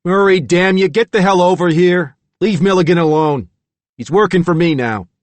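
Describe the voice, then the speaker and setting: Guy reading as a cocky young gang boss, bossy and businesslike, pitched up from narration, dry audiobook sound. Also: a little fast, no room sound, close to an everyday voice. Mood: angry shouting. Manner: commanding, businesslike. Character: cocky young male crime boss, commanding. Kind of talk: audiobook character dialogue.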